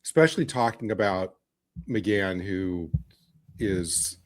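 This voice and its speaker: A man's voice: low-pitched, expressive, slow pace. A man talking casually as a guest in a fan discussion podcast.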